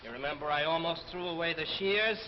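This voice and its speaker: An actor playing a cocky, greedy, hard-drinking schemer with brash, slangy talk in a 1950s television drama. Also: male voice, on the high side, fast, slight background noise, lightly acted. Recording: dull vintage 1950s broadcast, reverberant, loud music.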